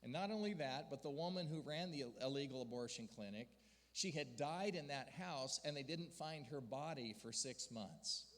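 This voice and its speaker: A man speaks to a gathered congregation in an earnest, storytelling manner that swells into emphatic peaks. His voice sits a little high, and it rings out with a long reverb from a large hall. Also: animated, normal speed, fairly bright tone. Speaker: denominational church leader speaking to a district gathering. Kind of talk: sermon-style report with slides.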